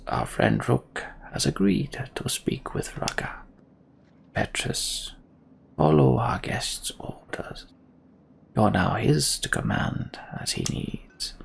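A man voicing a weary, solemn old king in a video game, speaking slowly and carefully in a breathy half-whisper. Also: on the low side, a little hiss or hum, heavily acted. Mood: grave, commanding. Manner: slow, careful, hushed. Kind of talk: video game character dialogue.

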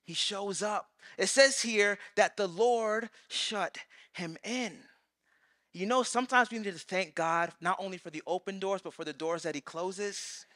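Pastor, man, 30s, lively stage sermon; high, bright tone, dry close mic. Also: a little slow, dry recording. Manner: animated, acts out quoted voices, swings loud and soft. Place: large church stage.

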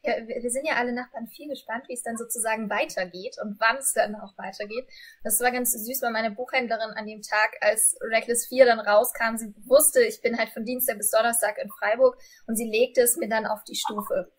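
A woman's voice: on the high side, fairly even intonation, brisk, breathy edge. A woman in her thirties interviewing in a conversational way as host, on a video call from a room indoors. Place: indoors before bookshelves.

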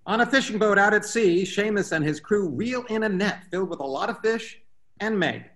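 A man's voice: high voice, expressive, a little fast, a bit bright. A man reading stage directions as scripted narration at a table read over a video call. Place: room at home, video call.

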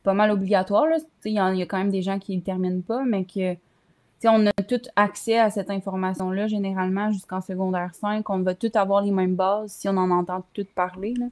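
A woman's voice: medium pitch, steady tone, brisk, darkish tone. A young woman with a Quebec French accent talking casually in a group discussion over a video call. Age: young adult. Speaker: social work student.

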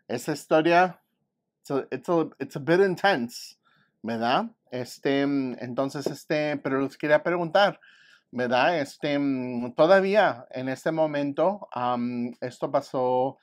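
A middle-aged man, a teacher giving informal, hesitant commentary as he shares a picture book. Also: mid-range voice. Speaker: art teacher reading a picture book aloud. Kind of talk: read-aloud with informal bilingual commentary. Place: indoor room with poster-covered wall and curtain.

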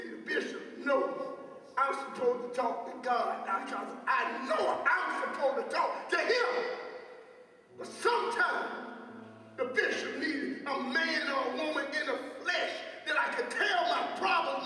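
A man's voice: very high voice, animated, measured pace, pushed and bright, exaggerated voice. A middle-aged man playing a weary, exasperated bishop in a stage play, emphatic and repeating his phrases like a preacher. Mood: frustrated. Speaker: stage actor. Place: indoor stage set in a theater. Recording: echoey theater hall, distant microphone.

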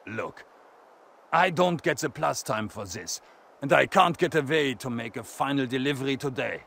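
An actor voicing a burly German lumberjack with a German accent, placating and bargaining in stilted phrases, in a video game. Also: male voice, medium pitch, expressive, normal speed, gravelly, fairly bright tone. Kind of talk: video game cutscene acting.

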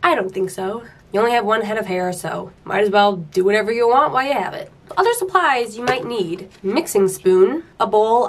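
A woman's voice: medium pitch, slight background noise. A young woman in her twenties hosting a casual hair tutorial vlog at home, upbeat and chatty, a bit nasal from a cold. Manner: chatty and upbeat, playful rhetorical questions. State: getting over a cold. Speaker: beauty vlogger host. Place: small room at home.

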